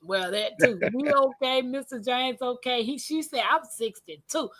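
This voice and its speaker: Playful high-voiced woman. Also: normal speed, fairly bright tone. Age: 40s. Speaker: livestream host. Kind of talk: casual livestream chat.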